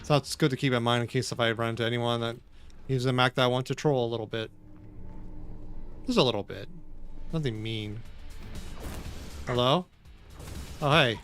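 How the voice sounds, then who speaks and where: A man streaming a game, thinking aloud and chatting with viewers in a loose, unhurried way. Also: mid-range voice, steady tone, a bit bright, faint music behind. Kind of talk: casual live-stream gaming commentary.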